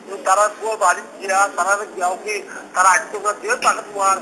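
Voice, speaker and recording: Man, Sylheti accent, high voice, quick talker, repetitive and exasperated, informal phone conversation. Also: level tone. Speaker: phone call recipient. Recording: phone call with background noise.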